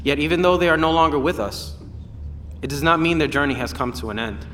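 A man's voice: a little high, fairly quick, a bit bright, slight background noise, natural voice. A man playing a solemn chaplain giving an oratorical memorial sermon in a science fiction audio drama. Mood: solemn, consoling. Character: solemn colony chaplain leading a memorial service.

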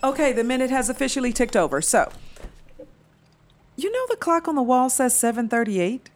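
A woman playing a chatty, patient, gently wry small-town housemate in a naturalistic audio drama. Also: high voice, sing-song, normal speed. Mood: cheerful.

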